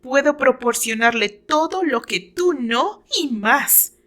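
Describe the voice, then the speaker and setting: A woman's voice: sits high, animated, slow pace, pushed and bright, pitched above the performer's own voice, heavily acted. Woman playing a wounded, jealous woman in love. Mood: desperate, defiant. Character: jealous, heartbroken red-haired woman in love, defiant. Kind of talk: radio drama acting.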